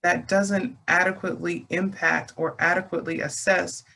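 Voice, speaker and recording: Slow, measured man in an online meeting. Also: high voice, little pitch movement, vocal fry, sharp tone, low-quality recording. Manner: slow and measured, "um" and "you know" fillers, emphatic on key points. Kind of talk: speaking at an online community meeting. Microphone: video call.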